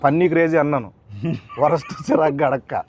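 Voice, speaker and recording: A man's voice: fairly high pitch, a little fast, slight background noise. A man interviewing in a playful, coaxing way, keeping up a casual chat-show banter.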